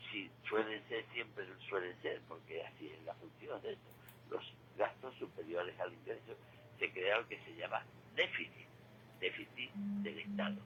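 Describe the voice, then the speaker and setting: A man's voice: sits low, normal speed, sharp tone, muffled recording, a little hiss or hum. A man with an Andalusian accent giving conversational economic commentary on radio, emphatic and didactic, repeating key figures to drive them home. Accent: Andalusian Spanish. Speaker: radio commentator on current affairs. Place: local radio studio.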